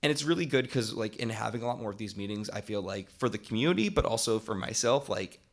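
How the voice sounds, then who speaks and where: A man's voice: lowish voice, lively intonation, quick pace, vocal fry. A young man, a college student, talking on a panel in a rambling way with many fillers. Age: young adult. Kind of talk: casual panel discussion.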